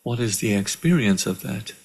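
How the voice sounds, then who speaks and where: Guy with a low raspy creaky voice doing a guided meditation, calm, lots of pauses. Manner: calm, measured, with deliberate pauses. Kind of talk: guided meditation, slow and instructional. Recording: live online session.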